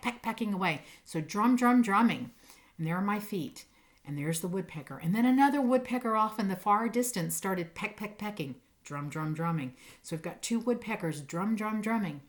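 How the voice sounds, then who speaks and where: A woman speaking like an encouraging teacher to children, reading poems expressively and chatting casually in a playful, enthusiastic way. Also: mid-range voice. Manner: playful, animated, emphatic on sound words.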